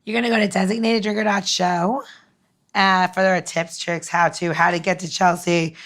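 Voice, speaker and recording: A woman's voice: lowish voice, flat delivery, normal speed, fairly bright tone. A woman co-hosting a casual drinks podcast. She rambles through her questions with many fillers and giggles as she talks. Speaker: podcast co-host. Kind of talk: casual chat.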